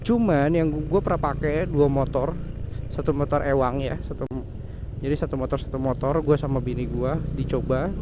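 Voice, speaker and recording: A young man chats through a gear review outdoors, his voice sitting a little high. He talks loosely and slangily, often pausing on fillers and restarting. Traffic hums clearly behind him. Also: steady tone. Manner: casual slangy talk with many fillers and restarts. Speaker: vlogger reviewing a motorcycle intercom. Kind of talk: casual product review. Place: outdoors by a road. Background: traffic noise.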